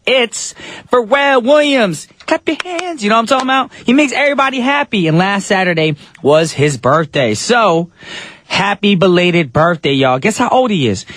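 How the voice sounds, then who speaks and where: A man's voice: high-pitched, lively intonation, normal speed, fairly bright tone, no room sound. A man hosting a radio show in casual, playful talk, joking with his guest. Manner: playful, jokey, sings snippets. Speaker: radio DJ and host. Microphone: studio microphone.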